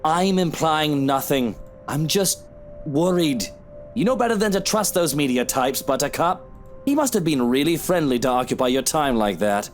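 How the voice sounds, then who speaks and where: Male actor as boozy husband for a visual novel: jealous, needling, theatrical, widely swinging pitch, music underneath. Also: animated, moderate pace, natural voice. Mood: suspicious, needling. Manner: mocking endearments, needling, theatrical. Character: hungover drinking husband, jealous, sarcastic, quarrelsome man. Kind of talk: visual novel game voice acting.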